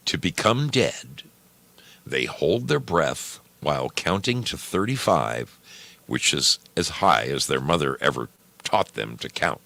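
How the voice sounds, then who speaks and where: A man narrating a story expressively, with measured, dramatic phrasing. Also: very deep voice, big pitch swings, vocal fry, pushed and bright, dry recording.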